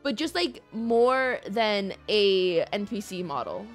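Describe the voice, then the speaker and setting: A woman livestreamer chatting casually over a game, playful, expressive and rambling to the viewers. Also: medium pitch, takes it slowly. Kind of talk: casual game stream commentary. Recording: game music behind the voice.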